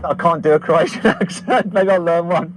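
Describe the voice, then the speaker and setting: A man with an English Midlands accent hosting a car vlog outdoors on a runway, excitable and laughing often. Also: fairly high pitch, moderate pace, noisy background. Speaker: car reviewer and host.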